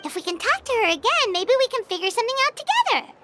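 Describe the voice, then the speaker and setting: A woman voicing a tiny, perky, childlike fairy companion in a video game, squeaky and eager. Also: sing-song, quick pace, pushed and bright, a little hiss or hum, full character voice. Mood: eager, hopeful. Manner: perky, refers to self in third person. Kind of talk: video game character dialogue. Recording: music clearly behind the voice.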